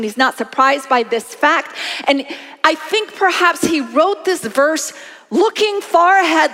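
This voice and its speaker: Woman pastor, late fifties, high voice, lively conversational preaching, reverberant sanctuary. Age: 50s. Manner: animated, conversational preaching with congregation call-outs. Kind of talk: sermon. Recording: long hall reverb.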